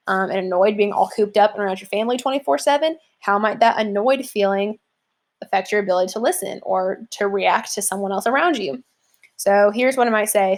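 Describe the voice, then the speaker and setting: A young adult woman teacher walking students through an assignment in a casual, chatty way with many fillers. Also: mid-range voice. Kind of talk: classroom lesson walkthrough.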